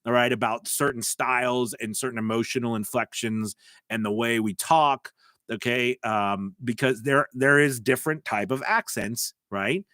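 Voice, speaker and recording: An American man talks through a live stream, coaching viewers and reading their chat messages aloud in a loose, rambling flow full of restarts and fillers. His voice sits at an ordinary middle pitch. The sound is dry and close, with almost no room around it. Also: fairly even intonation, normal speed, sharp tone, no echo. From United States. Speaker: host of a live voice-over business stream.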